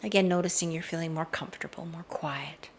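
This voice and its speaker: Low-pitched, creaky woman guiding a meditation. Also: steady tone, brisk, a little hiss or hum. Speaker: guided meditation host. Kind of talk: slow, soothing guided meditation with hypnotic induction. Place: outdoors in a garden. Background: faint outdoor garden ambience.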